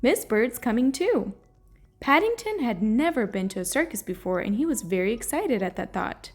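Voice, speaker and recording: Expressive woman reading a children's story. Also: a little high, brisk. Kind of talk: children's read-aloud narration. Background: soft music.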